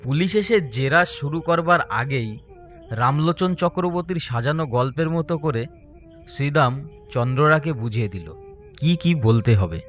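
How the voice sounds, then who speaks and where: A man's voice: a little high, lively intonation, measured pace, fairly bright tone, muffled recording. A man narrating a scripted story as a storyteller.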